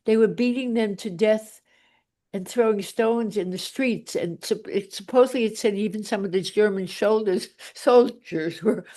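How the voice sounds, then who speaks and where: A woman's voice: medium pitch, moderate pace, warm tone. Older woman, blunt and rambling. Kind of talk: casual group chat.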